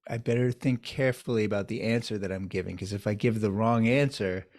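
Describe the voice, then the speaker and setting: A man with a somewhat low voice answers interview questions as a doctor. He talks in a measured, reflective way, building his answers step by step. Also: darkish tone. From United States, New York area. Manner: measured, thoughtful storytelling. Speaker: urologist guest.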